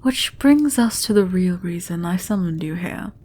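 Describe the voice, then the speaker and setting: A woman playing a gracious, shrewd queen in a fantasy audio roleplay, regal, measured and formal. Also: lowish voice, lively intonation, mellow. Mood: regal, composed.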